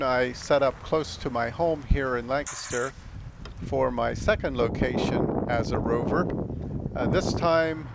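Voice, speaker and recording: Gravelly older American man. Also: medium pitch. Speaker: amateur radio operator and vlog host. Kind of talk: casual explanatory vlog talk. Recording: outdoor background noise.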